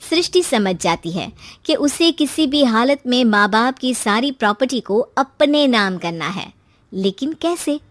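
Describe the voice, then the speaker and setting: A woman with a high-pitched voice narrates a scripted story. She delivers it as a storyteller reading prepared lines. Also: sing-song, a little slow, no echo.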